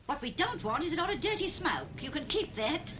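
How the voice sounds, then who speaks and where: An actress playing a bossy, outspoken housewife in a 1940s animated public information film, blunt and scolding. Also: female voice, high voice, fairly quick. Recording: old 1940s film soundtrack, loud music behind.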